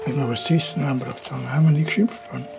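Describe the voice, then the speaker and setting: A man in his eighties with a Bavarian accent, reminiscing casually in halting, plain-spoken, repetitive phrases. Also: mid-range voice, fairly even intonation, gravelly, music in the background. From rural Bavaria, Germany. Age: 80s. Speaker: interviewee, local villager.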